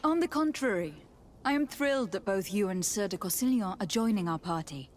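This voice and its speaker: A woman's voice: mid-range voice, animated, brisk, slight background noise, close to an everyday voice. An actress playing a poised, confident young noblewoman in a video game, formal and polite. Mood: playful. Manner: formal, composed.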